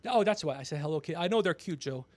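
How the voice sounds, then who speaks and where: A man hosting a livestream, rambling comedically with blunt, profane, teasing banter and a creaky voice. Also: fairly high pitch, expressive, very fast. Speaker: podcast livestream host.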